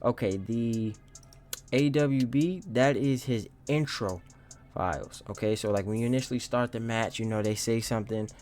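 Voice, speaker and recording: A man's voice: medium pitch, moderate pace, darkish tone. Man giving a casual tutorial over music. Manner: informal, explanatory, thinking aloud. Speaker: gaming tutorial host. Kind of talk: casual step-by-step screen tutorial.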